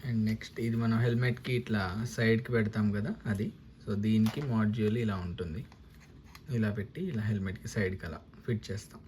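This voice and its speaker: A man's voice: lowish voice, fairly quick, darkish tone. A man gives a casual, explanatory step-by-step unboxing and installation demonstration, mixing in English terms. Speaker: motovlogger presenting a product unboxing. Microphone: lavalier. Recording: clearly noisy lavalier recording with ambience.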